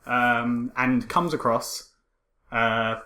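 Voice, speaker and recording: A man's voice: medium pitch, fairly even intonation, very slow, sharp tone. A man co-hosting a casual film podcast, joking and rambling as he goes with some hesitation. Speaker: podcast co-host.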